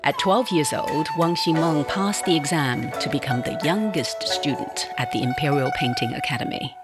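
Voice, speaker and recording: Radio drama narrator, scripted storytelling, loud music bed. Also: mid-range voice, moderate pace.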